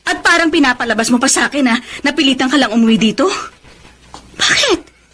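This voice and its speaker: A very high-voiced, wounded Filipino wife. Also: female voice, lively intonation, fairly quick, fairly bright tone. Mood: reproachful. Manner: emotional, reproachful, pleading. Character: neglected, hurt middle-aged wife, long-suffering and pleading. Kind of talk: Philippine radio drama acting.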